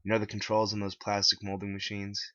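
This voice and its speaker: A man reading his own story aloud as a scripted dramatic narration in a deep voice. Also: monotone, quick pace, sharp tone, dry recording. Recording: dull lo-fi, dry close recording.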